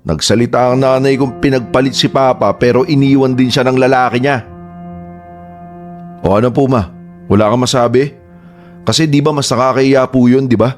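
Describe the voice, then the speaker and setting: A man's voice: mid-range voice, fairly even intonation, normal speed, pauses often, natural voice. An actor playing a hurt young adult son who confronts his mother in a Tagalog radio drama, emotional and pleading. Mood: accusing. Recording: faint background music.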